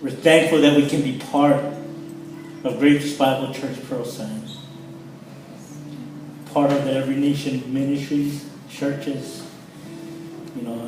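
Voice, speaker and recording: A pastor from the Philippines, excited and emotional, introducing a guest at a church launch. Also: male voice, on the high side, a little hiss or hum. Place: large church hall. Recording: echoey hall, distant mic.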